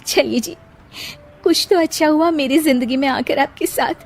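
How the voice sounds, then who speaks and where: A woman's voice: sits high, moderate pace, soft background music, pitched above the performer's own voice. A woman voicing a sad, self-blaming young bride in a plaintive, fretful way for a cartoon story. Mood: tearful relief. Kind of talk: Hindi moral-story cartoon dubbing.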